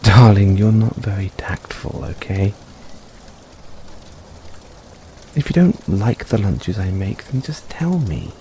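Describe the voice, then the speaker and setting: A man playing a caring, gently teasing boyfriend in a soft-spoken, playful comfort roleplay for an overworked partner. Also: lowish voice, big pitch swings, measured pace, a little hiss or hum. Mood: teasing, fond. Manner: soft-spoken, playful, coaxing, chuckles often.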